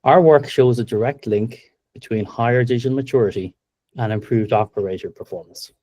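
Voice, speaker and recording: A middle-aged Irish man giving a business webinar presentation over a video call, upbeat and steady, with frequent ums. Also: mid-range voice, a little fast, no echo. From Ireland. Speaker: webinar presenter, company co-founder.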